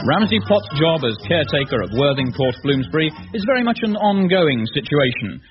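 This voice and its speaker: A man's voice: on the high side, sing-song, fairly quick. A man working as a radio announcer, delivering a scripted introduction to a comedy. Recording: dull old radio broadcast, music behind.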